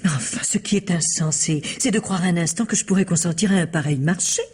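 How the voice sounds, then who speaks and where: An actress playing a rich widow under blackmail in a radio mystery, curt, sardonic and defiant. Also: female voice, fairly low pitch, fairly quick, a little mellow, breathy edge. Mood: scornful disbelief. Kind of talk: French radio mystery drama acting.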